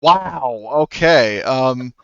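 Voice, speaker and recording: A man acting as game master in a tabletop game, talking with frequent pauses and fillers. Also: medium pitch, lively intonation, vocal fry, a bit bright, smooth, muffled recording. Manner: hesitant, lots of fillers and restarts.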